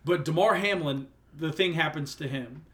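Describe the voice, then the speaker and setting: A man's voice: a little high, normal speed, a bit bright. A young man co-hosting a sports podcast, teasing his friend and speaking earnestly about sports stories. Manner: teasing, then earnest and reflective. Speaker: podcast co-host. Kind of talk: casual sports chat.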